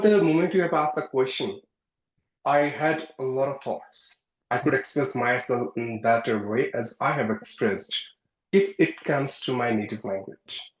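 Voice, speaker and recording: A man from India whose first language is Hindi, speaking earnestly in a practice interview and pushing his pitch up to stress points. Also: echoey room, dull audio. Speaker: English learner and channel host.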